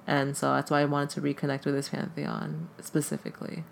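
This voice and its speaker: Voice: mid-range voice, completely flat delivery, fairly quick, vocal fry, slight background noise. A podcast guest whose first language is Tagalog, talking casually in a hesitant way and filling nearly every phrase with "like.". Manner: hesitant, heavy use of "like" fillers. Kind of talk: casual conversation.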